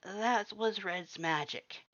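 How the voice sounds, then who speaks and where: Narrator acting male skeleton monster, raspy, gruff, protective, mumbled storybook dialogue, slightly dull audio. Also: takes it slowly, a bit bright, no echo. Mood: firm, gruff. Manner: low-key, mumbling.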